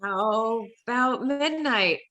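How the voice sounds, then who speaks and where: Woman acting a piercingly bright, exasperated woman. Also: on the high side, animated, takes it slowly, exaggerated voice. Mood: hesitant, reasoning. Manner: hesitant starts, exasperated protests. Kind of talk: comedic play table reading. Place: indoors, remote video call.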